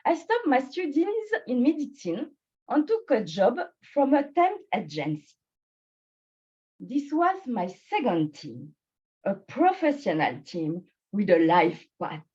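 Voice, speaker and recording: A woman born in Bangladesh and raised in France, with a French and Swiss French accent and French as her first language, giving a prepared personal speech at a speaking club in slow, deliberate phrases with pauses. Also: fairly high pitch, very animated, mellow, breathy edge, dull audio.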